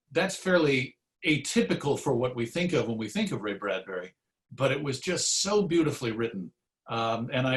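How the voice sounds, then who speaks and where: Male radio presenter as interview guest: conversational, medium pitch, reflective and measured. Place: recorded virtual session.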